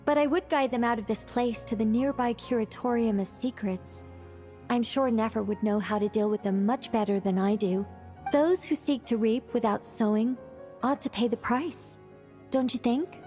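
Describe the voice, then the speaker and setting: A woman's voice: fairly high pitch, normal speed, warm tone, natural voice. A woman voicing an ageless female mage in a game cutscene, a fallen angel who tells stories in a theatrical, musing, self-assured way with wry wistfulness. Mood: playfully proud. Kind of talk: scripted game cutscene dialogue. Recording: background music.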